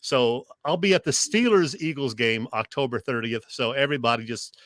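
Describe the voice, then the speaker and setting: A man's voice: medium pitch, normal speed, fairly bright tone, dry recording. A man co-hosting a sports radio show, chatting casually and conversationally with a joking streak.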